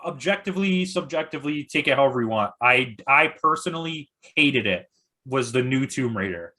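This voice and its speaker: A man's voice: mid-range voice, animated, fairly quick, bright, forceful tone. A man in a casual movie discussion, speaking as a guest critic with emphatic, hyperbolic complaints.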